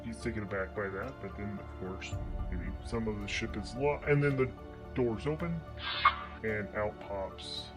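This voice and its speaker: A man narrating an improvised tabletop game story as solo game master, halting and often pausing to search for words. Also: fairly low pitch. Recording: music playing under the voice. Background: prominent instrumental music.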